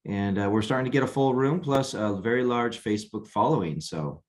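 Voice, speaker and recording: A man hosting a weekly video call, talking in a relaxed, halting way and often repeating words. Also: medium pitch. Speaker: host.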